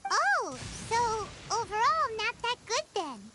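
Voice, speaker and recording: A woman voicing a tiny, cheeky, childlike fairy companion in a video game, squeaky and blunt. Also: big pitch swings, measured pace, noisy background, exaggerated voice. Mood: blunt, unimpressed. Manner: squeaky, refers to self in third person, blunt.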